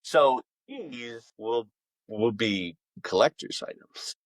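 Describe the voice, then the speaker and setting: A man talking casually as an author guest in a podcast interview, with an informal, halting, rambling delivery. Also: medium pitch, expressive, dry recording.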